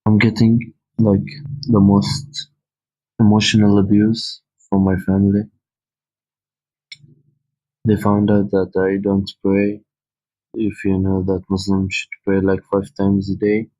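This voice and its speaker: A man's voice: on the low side, completely flat delivery, slow, long pauses, mellow, highs cut off. A man with an Omani accent giving a personal testimony in a voicemail message. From Oman.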